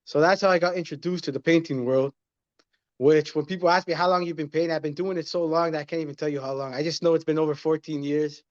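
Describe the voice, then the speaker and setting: A man's voice: a little high, steady tone, fast talker, muffled recording. A man in his thirties, a guest in a casual interview, talking earnestly and repeating his points like a motivational speaker. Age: 30s.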